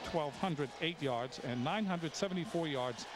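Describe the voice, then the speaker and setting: A man's voice: a little high, normal speed. Man calling football play-by-play. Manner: steady, reels off names and statistics. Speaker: play-by-play announcer. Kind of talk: live football play-by-play commentary. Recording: noisy old TV broadcast audio.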